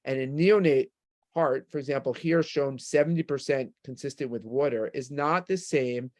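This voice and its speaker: A man's voice: medium pitch, normal speed, darkish tone. A man with a New York accent giving a medical lecture with slides, explaining things step by step and posing rhetorical questions.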